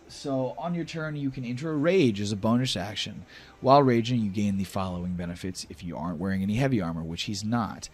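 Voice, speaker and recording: Husky, low-voiced man as game master. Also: a little mellow. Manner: dramatic storytelling mixed with casual, sweary table talk. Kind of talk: narrating a tabletop fantasy game.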